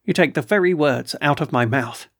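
A man reading aloud and voicing an excitable, blustering military colonel with emphatic outbursts. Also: on the high side, moderate pace, no echo, clean studio sound. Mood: agitated. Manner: agitated, emphatic, snorting outbursts. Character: blustering excitable middle-aged male colonel.

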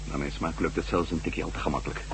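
An actor playing an uneasy adventuring former astronaut and reporter in a Dutch radio drama, musing and repeating himself hesitantly. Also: male voice, rumbling low, flat delivery, fast talker. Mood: low, cautious. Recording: noisy old radio drama recording.

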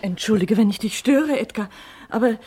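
An actress playing an anxious, apologetic well-off wife in a German radio crime play. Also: female voice, a little high, normal speed, mellow, slight background noise, close to an everyday voice.

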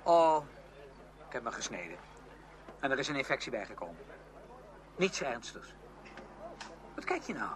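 An actor playing a headstrong, outspoken young music student in a Dutch radio play, speaking emphatically and with defiance. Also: male voice, mid-range voice, very animated, moderate pace, pauses often, natural voice. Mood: brisk, bitter. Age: young adult. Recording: noisy old radio drama recording.

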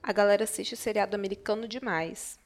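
A woman's voice: mid-range voice, steady tone, brisk, close to an everyday voice. An actress playing a caring, practical Brazilian woman at home in a naturalistic audio drama, talking casually. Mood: casual, relieved.

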